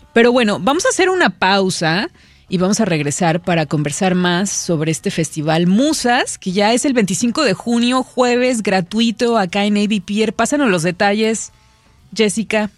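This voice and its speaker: A woman's voice: mid-range voice, lively intonation, measured pace, no echo, soft background music. A woman hosting a casual radio interview, chatty and full of tag questions. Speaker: radio host.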